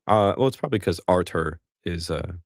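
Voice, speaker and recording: A man with a very low, gravelly voice hosts a live call-in stream. He talks casually, joking and poking fun at himself. The sound is dry and close. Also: animated, brisk, no echo. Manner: chatty, self-deprecating, joking. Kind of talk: casual chat.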